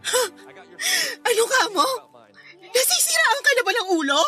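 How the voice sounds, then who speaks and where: A woman's voice: very high voice, sing-song, moderate pace, sharp tone, faint music behind, full character voice. A woman playing a hurt, angry wife and mother in a Filipino radio drama, scolding and confrontational. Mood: shocked, indignant.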